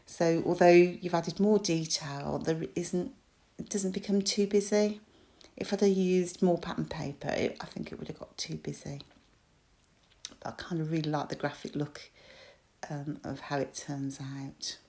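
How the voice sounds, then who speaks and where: A British woman in her forties with a croaky voice, chatting casually as she talks through a scrapbook craft project with lots of ums and small laughs. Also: deep voice, steady tone, unhurried, mellow. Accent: British English. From United Kingdom. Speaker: crafter and shop designer. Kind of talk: crafting tutorial voice-over.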